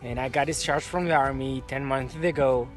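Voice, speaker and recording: A man's voice: medium pitch, steady tone, normal speed, faint music behind. A man in his twenties with an Israeli accent who speaks hesitantly and thoughtfully in an interview. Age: 20s.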